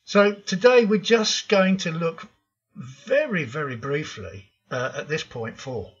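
A man's voice: a little high, expressive, slow pace, slightly rough, muffled recording. An older man hosting a programming tutorial, talking through slides in a frank, opinionated way with frequent ums. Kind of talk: narrated slide lesson.

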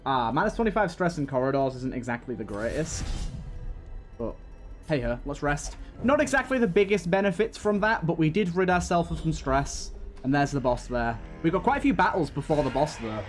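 Man, gaming host, higher-pitched voice, casual play-by-play, repeats phrases, addresses characters, music in the background. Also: expressive, fairly quick. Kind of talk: casual let's play commentary.

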